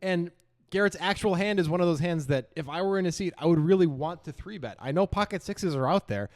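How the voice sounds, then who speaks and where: A man's voice: sits high, animated, a little fast. Analytical man co-hosting a commentary podcast. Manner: analytical, poses questions and answers them. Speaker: poker podcast co-host analysing hands.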